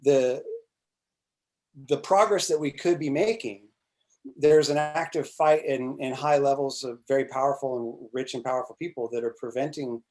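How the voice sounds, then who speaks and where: A man's voice: medium pitch, moderate pace, a little mellow. A man in his forties chatting casually on a video call, rambling with frequent restarts and fillers and casual swearing. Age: 40s. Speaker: congressional candidate guest. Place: small indoor room, plain wall. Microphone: video call webcam.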